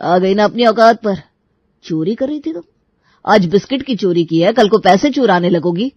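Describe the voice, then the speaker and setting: A man's voice: high voice, lively intonation, normal speed, dry recording, lo-fi sound. A man playing an angry, suspicious young husband who scolds and threatens in a Hindi moral-story drama. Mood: furious.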